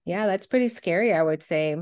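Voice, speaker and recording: Woman podcast co-host w a slightly low voice, just chatting thru a list. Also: a little fast, darkish tone, clear, resonant tone. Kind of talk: casual chat, reacting to a countdown list.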